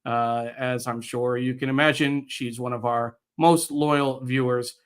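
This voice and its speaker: A middle-aged American man guest-hosting a live online show, speaking in a halting way with pauses mid-phrase. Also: medium pitch, fairly even intonation, clear, resonant tone. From United States, New York area. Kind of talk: live show introduction, reading viewer comments.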